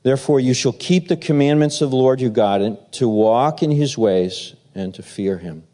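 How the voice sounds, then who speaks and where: A man preaching as pastor, halting with many fillers as he talks, then reading scripture aloud. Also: medium pitch, mellow.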